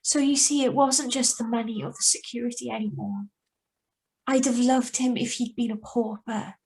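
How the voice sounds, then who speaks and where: A woman's voice: fairly high pitch, fairly even intonation, normal speed, darkish tone, close to an everyday voice, poor audio. An actress playing an anguished, guilt-ridden young woman with a secret in a live radio drama reading. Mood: wistful.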